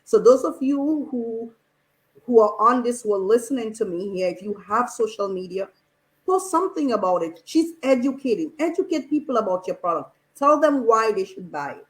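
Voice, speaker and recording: A woman's voice: fairly high pitch, animated, low-quality recording. Enthusiastic woman guest on a radio panel. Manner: enthusiastic, halting restarts. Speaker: panel guest, online shop owner.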